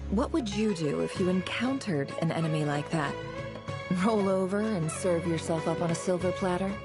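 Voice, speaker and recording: An actress playing a composed, calculating female boss in a game cutscene, speaking in a cool, deliberate, commanding way. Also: fairly low pitch, music in the background, natural voice. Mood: coolly persuasive. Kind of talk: video game cutscene voice acting.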